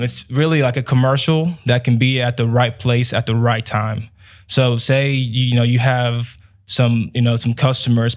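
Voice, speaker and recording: A young man from Nigeria, answering as a guest in a relaxed way with many fillers. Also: mid-range voice. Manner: relaxed, many "um" and "you know". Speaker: guest, business owner. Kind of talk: casual interview answers.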